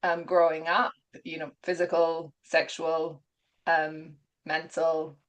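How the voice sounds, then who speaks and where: A woman with a lowish voice and a mixed British accent, speaking as an interview guest over a video call. She tells a personal story in an open, earnest way and laughs easily between phrases. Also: monotone, slow pace, poor audio. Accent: mixed British and Gibraltarian. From England and Gibraltar. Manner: open, earnest, laughs often while telling her story.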